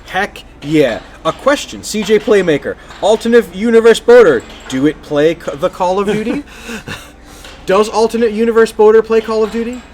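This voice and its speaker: A man's voice: sits high, normal speed, a little mellow, a little hiss or hum. A man co-hosting a casual live podcast, delivering plugs and banter like a playful mock announcer. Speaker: podcast co-host.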